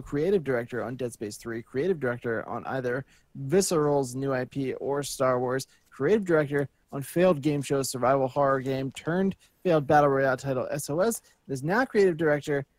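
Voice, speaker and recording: A man's voice: mid-range voice, a little fast, a little mellow, no room sound. A man co-hosting a podcast, reading news aloud and chatting in an informal, joking way. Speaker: podcast co-host.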